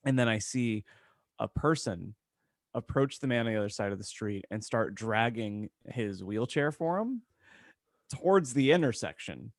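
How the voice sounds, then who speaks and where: A man's voice: mid-range voice, animated, normal speed, no room sound. Male podcast co-host telling stories, dry sound. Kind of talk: casual anecdote storytelling among friends.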